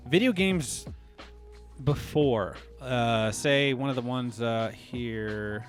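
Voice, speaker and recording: A man co-hosting a casual podcast chat, thinking out loud and hedging his theories. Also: mid-range voice, slow, music in the background. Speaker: podcast co-host.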